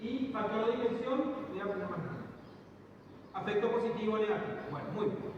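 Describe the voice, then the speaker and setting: A man's voice: high voice, fairly quick, muffled recording. A man teaching a statistics class, explaining step by step and questioning his students. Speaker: psychometrics teacher. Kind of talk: classroom lecture. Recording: distant echoey classroom recording with background noise.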